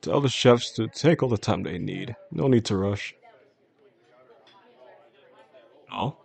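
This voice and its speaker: A man playing a possessive, soft-spoken boss in an intimate audio drama, talking gently and with control straight to the listener in a low, gravelly voice. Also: a faint crowd, full character voice. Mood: courteous, attentive. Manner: slow, soothing, gentle, controlled. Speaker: voice actor.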